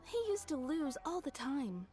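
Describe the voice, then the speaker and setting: A woman voicing a playful, expressive young woman telling stories in a video game. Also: high-pitched, unhurried, faint music behind. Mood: amused, teasing. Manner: expressive, teasing, storytelling. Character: cheerful, playful young woman gossiping fondly about a local troublemaker.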